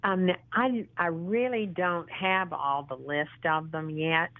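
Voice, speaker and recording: A woman in her sixties being interviewed as a guest, speaking in an earnest, encouraging way and often pausing with ums and restarts. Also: lowish voice, steady tone, no room sound. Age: 60s. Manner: halting, with frequent ums and restarts. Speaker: interview guest, model and actress. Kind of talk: casual phone interview. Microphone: phone. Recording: tinny phone line.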